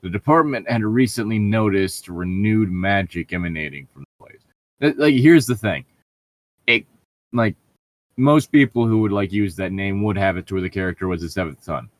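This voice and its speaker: A man's voice: on the low side, normal speed. A man reading fan fiction aloud as a podcast host and riffing on it casually, in an exasperated, sarcastic and often profane manner. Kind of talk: casual riffing between lines read aloud.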